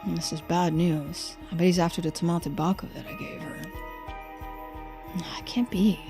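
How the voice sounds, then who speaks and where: Woman as a hesitant, casual game investigator over soft music. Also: lowish voice, steady tone, normal speed, lightly acted. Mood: wary, muttering. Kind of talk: video game character voice-over.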